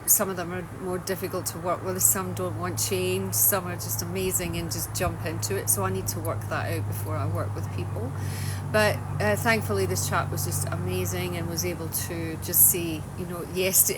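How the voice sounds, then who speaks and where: A woman's voice: lowish voice, fairly even intonation, normal speed. Chatty Scottish woman on a noisy livestream. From Scotland. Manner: chatty, loose, restarts often. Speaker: holistic wellness coach. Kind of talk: casual livestream talk. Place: home in Australia.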